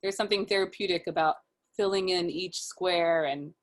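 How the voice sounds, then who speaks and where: A woman's voice: lowish voice, fairly even intonation, moderate pace. A woman speaking as an illustrator guest in a casual interview, explaining her process step by step in informal language.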